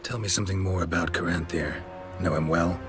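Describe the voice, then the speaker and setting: An actor playing a gruff, stoic, sardonic monster hunter in a video game, with a low gravelly voice and clipped deadpan delivery. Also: male voice, brisk, music in the background, close to an everyday voice. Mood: sardonic, challenging.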